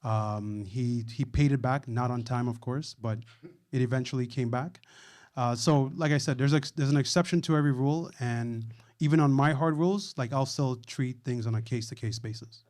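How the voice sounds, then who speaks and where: A man's voice: medium pitch, normal speed, a little mellow. Reflective man telling a story. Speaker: panelist. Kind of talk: live panel discussion.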